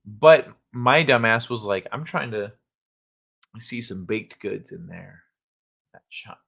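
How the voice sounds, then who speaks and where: A man's voice: fairly low pitch, animated, takes it slowly, gravelly, fairly bright tone, slightly rough. A man hosting a live stream, chatting casually and rambling with jokes and swearing. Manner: rambling, joking, profane, laughs often. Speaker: livestream host. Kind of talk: casual live-chat talk.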